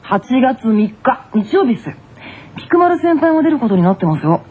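A woman's voice: on the high side, big pitch swings, moderate pace, breathy edge. Woman voicing a cool schoolboy, tinny radio sound. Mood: cool, casual. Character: cool, cheeky junior schoolboy tennis player. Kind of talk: anime character radio announcement. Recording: tinny narrow-band radio, faint noise.

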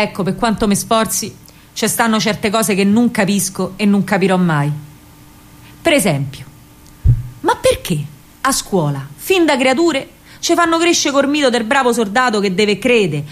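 High-voiced, spirited Roman girl played by a woman. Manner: colloquial, emphatic, expressive storytelling. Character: spirited, indignant working-class Roman partisan girl, narrating her memories. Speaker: actress. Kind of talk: theatrical first-person monologue in dialect.

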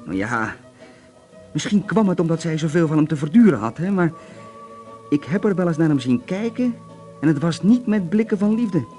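A man's voice: fairly high pitch, big pitch swings, normal speed, warm tone, soft background music. An actor playing a knowing, gossipy barman in a radio drama, confiding and insinuating in a conversational way.

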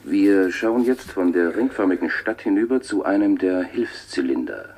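An actor playing an upbeat television reporter who delivers declamatory, promotional broadcasts from a space station in a radio drama. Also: male voice, deep voice, lively intonation, normal speed, darkish tone, slight background noise. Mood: proud, promotional.

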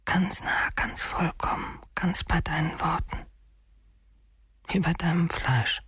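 A man's voice: a little high, slow, fairly bright tone, breathy edge, no echo, full character voice. An actor playing a deranged, obsessive male killer in his thirties in a German radio crime drama, pleading intimately and often sinking toward a whisper. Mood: tender, obsessed. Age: 30s.

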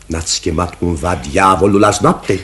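An actor playing a commanding pastor who hunts the devil, speaking in an emphatic, oratorical radio theatre manner. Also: male voice, deep voice, fairly even intonation, fairly bright tone. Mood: probing, intense. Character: commanding, certain male pastor hunting witchcraft and the devil.

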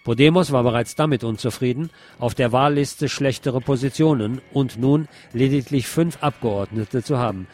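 Male news reader for radio, mid-pitched, scripted bulletin delivery with faint music underneath. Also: moderate pace, few pauses, a little mellow.

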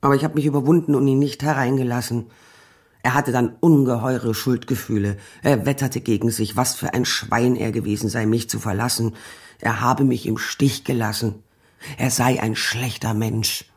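A woman's voice: rumbling low, fairly even intonation, normal speed, a little mellow, husky, exaggerated voice. An actress playing a wounded but resolute woman who emphatically recalls the lover who left her, in a radio play. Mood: hurt, firm. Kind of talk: documentary radio play monologue.

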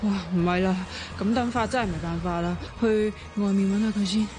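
A woman's voice: medium pitch, fairly quick, music in the background, lightly acted. An actress playing a cheeky, grumbling young woman in a radio drama, with a Hong Kong Cantonese accent. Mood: grumbling worry. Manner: teasing, petulant.

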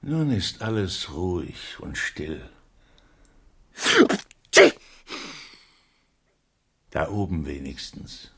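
Radio drama casting: exasperated master of the house, low-leaning husky voice, grumbling, highly animated. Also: male voice, exaggerated voice. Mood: weary, listening. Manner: irritable, dramatic. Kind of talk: radio play acting.

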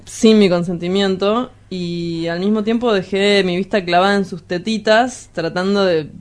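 A woman's voice: mid-range voice, steady tone, normal speed, lightly acted. A woman playing a pretentious, boastful, evasive lover in a radio drama, with an Argentine Rioplatense accent and a hesitant, wordy, colloquial delivery. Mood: smug, confiding. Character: sleazy, pretentious, self-styled philosopher lover, evasive and boastful.

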